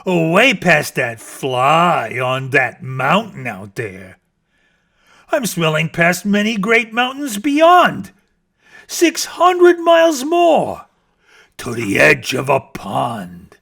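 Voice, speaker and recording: A man voicing a boastful, scornful bear in a children's story, growling and snorting as he brags with drawn-out, emphatic words. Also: fairly high pitch, wildly swooping pitch, vocal fry, pushed and bright, full character voice. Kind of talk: theatrical children's storybook reading.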